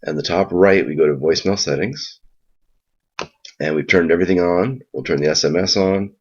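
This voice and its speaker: A man giving an informal, explanatory software walkthrough in a casual spoken style. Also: sits low, normal speed, husky. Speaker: software tutorial presenter. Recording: dull lo-fi mic sound.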